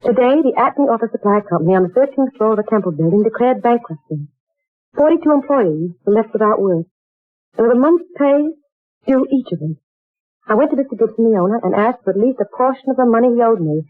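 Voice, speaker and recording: A woman's voice: on the high side, animated, quick pace, no echo. An actress voicing a young woman uneasy about a strange job, in 1940s radio acting, talking in a hesitant, wondering way. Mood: earnest. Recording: tinny old radio broadcast with faint music.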